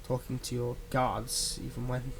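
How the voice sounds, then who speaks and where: A manipulative yet tender villain played by a man speaks softly at a middling pitch, as in an ASMR roleplay. He coaxes with deliberate, dramatic pauses and sounds possessive of his captive. Noise sits behind the voice. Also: flat delivery, lightly acted. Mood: gentle, reassuring.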